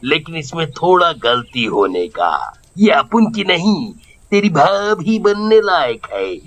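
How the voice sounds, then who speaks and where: Cocky loafer with swooping slow drawl. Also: male voice, on the high side, sing-song, a bit bright, exaggerated voice. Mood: cocky, flirtatious. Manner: cocky Bombay-style street slang. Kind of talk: exaggerated cartoon story acting.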